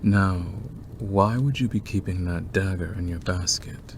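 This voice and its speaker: A man voicing an obsessive, predatory werewolf who is smitten with the listener, speaking deliberately with soft, teasing menace in an ASMR roleplay. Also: low voice. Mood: intrigued. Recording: clearly noisy background ambience.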